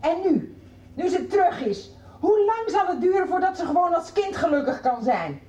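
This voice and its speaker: A woman's voice: very high voice, big pitch swings, moderate pace, dark tone, roomy sound, dull audio. A woman playing an outraged, unyielding middle-aged mother in a radio soap, emphatic and insistent. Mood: indignant, accusing. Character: outraged, unyielding mother confronting her daughter's abuser.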